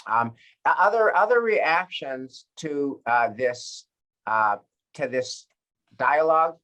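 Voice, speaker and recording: A man leading a study group as rabbi, talking in a thoughtful, conversational way with frequent pauses and restarts. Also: on the high side, expressive, pushed and bright, low-quality recording. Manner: thoughtful, many fillers and restarts. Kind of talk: conversational teaching discussion.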